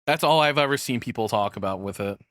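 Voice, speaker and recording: A man reacts like a streamer, chatting casually and bluntly with plenty of swearing. His voice is bright and forward, recorded up close and very dry, with no room sound around it. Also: medium pitch, fast, no room sound.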